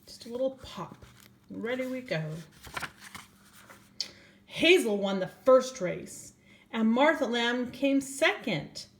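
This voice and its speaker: Older grandma reading a kids picture book out loud, really slow, talks right to the little ones. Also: female voice, fairly high pitch, lively intonation. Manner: slow, addressing young children, asking them questions. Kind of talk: children's storybook read-aloud.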